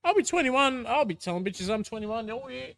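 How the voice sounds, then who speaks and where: A drunk man in his twenties rambling and joking deadpan in casual banter on a vlog. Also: very high-pitched, brisk, gravelly. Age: 20s. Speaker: vlog co-host.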